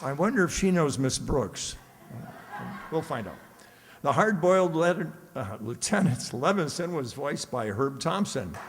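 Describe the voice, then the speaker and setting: A man's voice: a little high, sing-song, measured pace. A man announcing the cast credits of a radio play to a live audience. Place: reverberant hall before a live audience. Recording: noisy live hall with audience.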